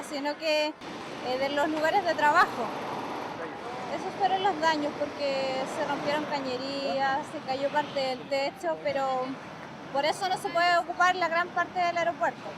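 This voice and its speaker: Brief: woman staffer, matter-of-fact, high voice, noisy outdoor airport. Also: steady tone, moderate pace.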